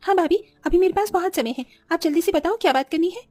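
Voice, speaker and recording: A woman voicing an earnest, polite young village seamstress in an animated story. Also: very high voice, fast talker, soft background music. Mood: eager, polite. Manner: polite, pleading.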